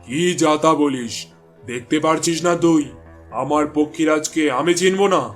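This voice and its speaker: A man's voice: a little high, moderate pace, soft background music, natural voice. A man voicing a haughty, bossy village landlord in a cartoon, with a blustering, scolding manner. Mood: angry, accusing. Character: haughty village landlord doting on his pet goose. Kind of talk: cartoon voice acting.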